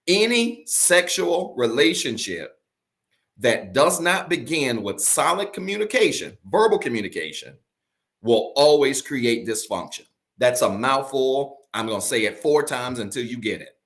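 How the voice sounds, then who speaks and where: A man with a raspy morning voice preaching a livestreamed sermon as a pastor. He repeats his key points and keeps urging viewers to comment. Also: mid-range voice, very animated, measured pace, fairly bright tone.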